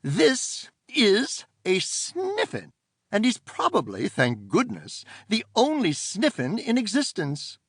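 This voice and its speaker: A man's voice: high-pitched, huge pitch swings, takes it slowly, a bit bright, husky, dry recording, clean studio sound, pitched above the performer's own voice, full character voice. A man voicing a stern, prim fairy aunt. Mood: lecturing. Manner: scolding, prim and commanding.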